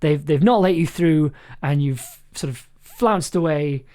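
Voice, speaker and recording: A man's voice: on the high side, a little slow, darkish tone. Man, director and stream host, casual encouraging talk, hesitant phrasing, searching for words.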